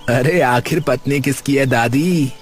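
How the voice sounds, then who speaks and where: An actor playing a boastful, easily exasperated young city husband in a cartoon, with animated, slangy casual talk. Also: male voice, mid-range voice, unhurried, slightly rough, faint music behind.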